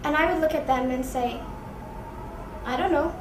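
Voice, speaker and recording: A woman's voice: high-pitched, normal speed, reverberant, a little hiss or hum, poor audio. A young woman from New York, casually telling a personal story and acting out what people said to her. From New York, USA. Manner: chatty, quoting others in raised voices.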